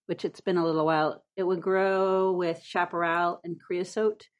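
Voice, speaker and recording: A woman's voice: on the low side, little pitch movement, normal speed, no echo, dull audio. A woman chatting casually and chiming in with her own stories. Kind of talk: casual conversation.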